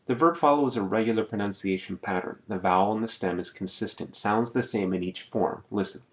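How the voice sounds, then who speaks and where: A man teaching a language lesson over slides. He talks patiently, says words slowly and keeps checking in with "okay?". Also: on the low side.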